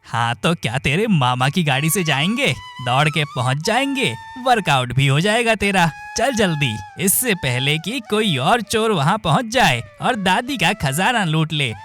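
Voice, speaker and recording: A man's voice: on the high side, very animated, measured pace, hardly any pauses, a bit bright. A man doing a bossy, greedy cartoon thief. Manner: scolding, scheming. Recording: background music.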